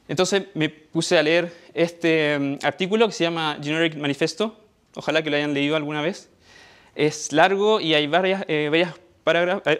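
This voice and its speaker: A man's voice: fairly high pitch, a bit bright. A young Chilean man with a Chilean Spanish accent giving a tech conference talk on stage, hesitant, with many fillers and restarts. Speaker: conference speaker. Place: dark auditorium stage.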